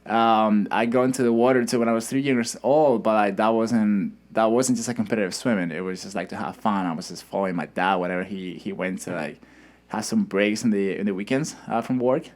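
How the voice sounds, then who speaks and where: A young man from Spain, a swimmer guest in a casual interview, talking in a relaxed, hesitant way with many fillers and restarts. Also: lowish voice, brisk. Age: young adult.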